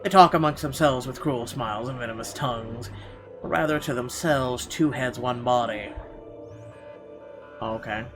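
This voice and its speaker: A man's voice: mid-range voice, normal speed, long pauses. A man hosting a gaming video, reading visual novel narration dramatically and breaking into irreverent, sweary asides. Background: game music.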